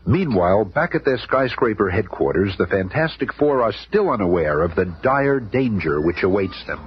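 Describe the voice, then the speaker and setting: A man's voice: on the low side, expressive, normal speed, lightly acted. A man narrating a superhero radio serial in a grandiose, theatrical storytelling style. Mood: suspenseful. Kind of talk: dramatic scripted radio narration. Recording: noisy, muffled old radio recording.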